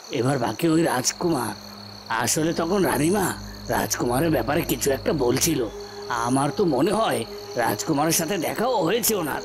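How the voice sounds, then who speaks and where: A man's voice: a little high, a little hiss or hum. A man voicing a scheming usurper villain in a fairy tale cartoon, commanding and self-assured. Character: scheming usurper villain plotting against the prince.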